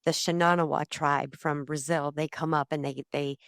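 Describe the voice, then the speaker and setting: A woman in her fifties, a guest in an interview, speaking in a heartfelt, animated way. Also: deep voice, normal speed, no room sound, studio quality. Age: 50s. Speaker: guest, community founder. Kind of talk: conversational interview.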